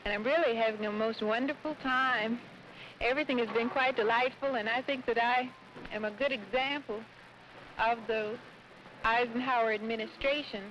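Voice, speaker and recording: A young American woman giving a formal, careful, halting interview outdoors for a 1950s newsreel. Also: on the high side, steady tone, fairly bright tone. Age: young adult. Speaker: convention usherette interviewee. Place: outdoors, windy city street. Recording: dull, faintly noisy 1950s newsreel.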